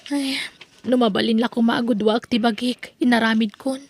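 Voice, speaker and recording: A woman's voice: on the high side, fairly even intonation, moderate pace, breathy edge, dry recording. A woman with an Ilocano accent playing a tired, dutiful young daughter who works night jobs, speaking earnestly and plaintively in a radio drama.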